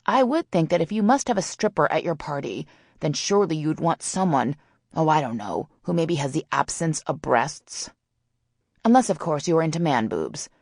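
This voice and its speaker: Audiobook role: cheeky sarcastic teen girl, voiced by a woman, low-toned, fry at the edges, dry close sound, mock-grand teasing. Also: very animated, moderate pace, darkish tone, no echo, exaggerated voice. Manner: wordy, teasing, mock-haughty. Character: brash, sarcastic, mischievous Southern teenage girl.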